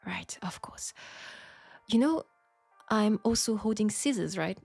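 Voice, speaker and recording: A woman with a French accent playing a proud, wry young Parisian seamstress in a scripted audio roleplay, speaking in a measured, pointed way. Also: mid-range voice, animated, vocal fry, darkish tone, breathy edge, dry recording, faint music behind, exaggerated voice. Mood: teasing threat. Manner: measured, pointed, sardonic, with sighs.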